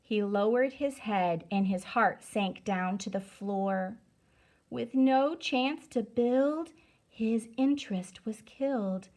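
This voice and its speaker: A woman reading a storybook aloud to children as a teacher, deliberate, emphatic and instructive. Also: on the high side, darkish tone.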